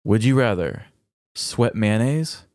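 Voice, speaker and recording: A man co-hosting a comedy podcast, bantering casually with low-key, wry humor. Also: lively intonation, slow, a little mellow, dry recording, clean studio sound. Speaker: podcast co-host.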